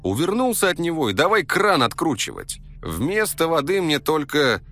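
A man's voice: a little high, sing-song, moderate pace, bright, forceful tone, faint music behind. A man narrating a comic first-person story in coarse, slangy street language.

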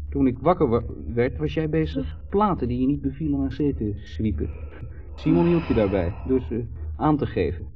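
An actor playing a placating, wry husband in a 1970s radio drama, soothing, teasing and at times hesitant. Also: male voice, mid-range voice, expressive, moderate pace, breathy edge, faint music behind. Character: placating, wry husband, timid younger brother. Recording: dull, distant old radio recording.

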